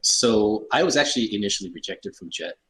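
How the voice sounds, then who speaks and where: Deep, husky male translator. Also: animated, brisk, sharp tone, rough recording. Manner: plain, explanatory. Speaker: guest translator. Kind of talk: casual interview chat.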